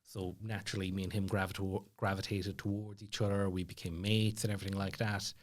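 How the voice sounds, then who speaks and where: A man's voice: low voice, little pitch movement, brisk. A man with an Irish accent telling stories casually as a podcast guest, chatty and self-deprecating, like a stand-up comedian off stage. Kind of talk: casual storytelling chat.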